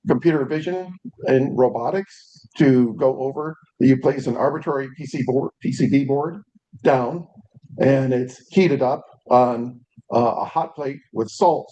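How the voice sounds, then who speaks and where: A man's voice: mid-range voice, no echo, dull audio. Chatty man hosting a meetup, dry sound. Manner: relaxed, chatty, with frequent ums. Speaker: meetup host. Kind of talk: casual meetup announcements and speaker introduction. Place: meeting room. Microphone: handheld microphone.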